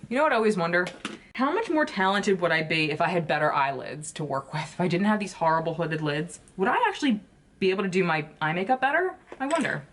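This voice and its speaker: A woman's voice: fairly low pitch, lively intonation, fast talker, fairly bright tone, slight background noise. A woman beauty vlogger chatting casually while she does her makeup, swearing often and gushing about products.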